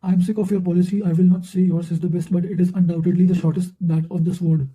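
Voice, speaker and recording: A man's voice: high-pitched, little pitch movement, fast talker, mellow, rough recording. A man reading historical quotations aloud from a script in a formal, bookish manner, occasionally stumbling.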